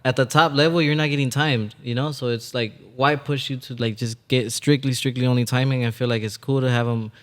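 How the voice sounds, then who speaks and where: A young man of about twenty speaks as a podcast guest. He talks quickly, in a medium-pitched voice. His manner is casual and slangy. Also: fairly even intonation. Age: 20s. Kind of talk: casual chat.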